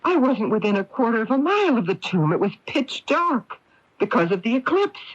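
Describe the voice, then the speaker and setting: An actress playing a scheming, cold-blooded wife in an early 1980s radio mystery, with deliberate, dramatic delivery. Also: female voice, a little high, sing-song, no echo. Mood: alarmed, suspicious. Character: scheming, cold-blooded wife who poisoned her husband. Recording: muffled lo-fi 1981 AM radio recording.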